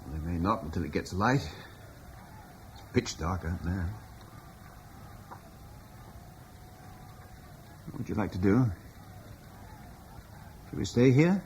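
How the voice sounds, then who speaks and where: A weary Roman-era husband, wry and bitter, low voice, lively delivery, faint hiss of an old radio play. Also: male voice, normal speed, long pauses. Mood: resigned. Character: weary Roman-British man facing the end. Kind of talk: 1950s-60s radio drama acting.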